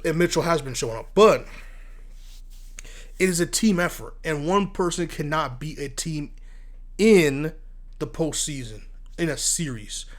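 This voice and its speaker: A man's voice: fairly high pitch, a little slow, pauses often. Congested man giving blunt basketball takes. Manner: blunt, animated, repeats words when worked up. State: congested from asthma and allergies. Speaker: sports commentary host. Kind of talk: casual solo sports talk.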